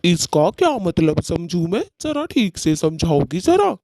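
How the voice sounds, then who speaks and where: A man's voice: sits high, sing-song, measured pace, darkish tone, dry recording, clean studio sound, full character voice. A man playing an aggrieved male cartoon bird husband who speaks in a dramatic, reproachful way. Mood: puzzled. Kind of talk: Hindi cartoon story dialogue.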